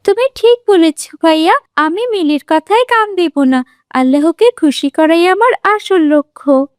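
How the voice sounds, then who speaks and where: A woman voicing a pious, gentle young schoolgirl in a cartoon, speaking earnestly and politely. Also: very high-pitched, animated, unhurried, no echo, studio quality. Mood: earnest, curious. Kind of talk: cartoon dialogue acting.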